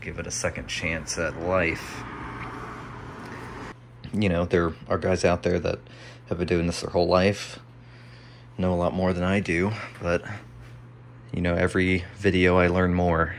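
An American man narrating his hobby restoration work casually and unscripted, musing out loud as he goes. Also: low voice, little pitch movement, unhurried, long pauses. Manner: musing, thinking aloud. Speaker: hobbyist radio restorer presenting a video. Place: small indoor home workshop. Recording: faint room noise.

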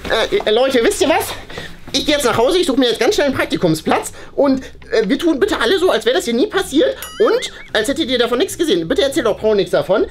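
A woman's voice: high voice, very animated, quick pace, faint music behind. A woman playing a flustered, boastful schoolboy in a children's play story, stammering and easily panicked. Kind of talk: playful children's toy-play story acting.

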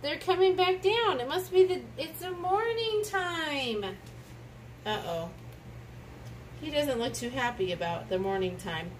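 Woman, highish voice, casual picture-book read-aloud, exclamatory, questioning, picked up from a distance. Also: expressive, unhurried. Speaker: adult reading a picture book with a child. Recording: distant mic with clear background noise.

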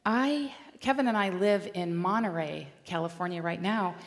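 A middle-aged woman from Michigan giving an animated, storytelling chapel talk on a large stage. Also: on the low side, moderate pace. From Michigan, USA. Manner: animated, gesturing while walking the stage. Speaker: chapel speaker. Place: large dark auditorium stage. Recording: long hall reverb.

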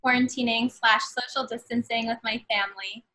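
Young woman guest, bright and a little high, earnest, talking over a webcam from home. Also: steady tone, fairly quick, low-quality recording. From Bangladesh, raised in New York City. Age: 20s. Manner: earnest, many fillers and hedges. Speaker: guest, medical student. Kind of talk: casual interview over video call. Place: small room at home. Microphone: video call webcam.

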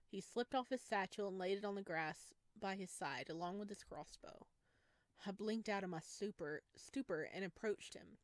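Woman, visual novel narration, expressive storytelling, close microphone, very little room sound. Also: medium pitch, no echo. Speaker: streamer reading a visual novel aloud.

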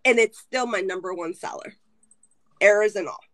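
A woman's voice: mid-range voice, moderate pace, no echo. Candid woman author, Spanish-native. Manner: animated, candid storytelling. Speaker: author guest. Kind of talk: casual video call panel chat. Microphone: video call webcam.

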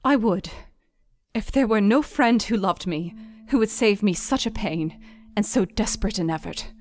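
Woman voicing sorrowful devoted young woman, earnest, quiet, faint guitar. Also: medium pitch, expressive, moderate pace, lightly acted. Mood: resolute. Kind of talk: dramatized audiobook reading.

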